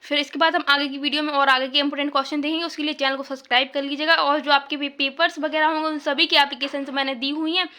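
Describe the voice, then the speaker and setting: A woman's voice: high-pitched, little pitch movement, fast, few pauses, sharp tone. A woman with an Indian accent teaching an informal exam tutorial, explaining and dictating step by step, repeating phrases and checking in often. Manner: instructive, repeats phrases, frequent check-in tags.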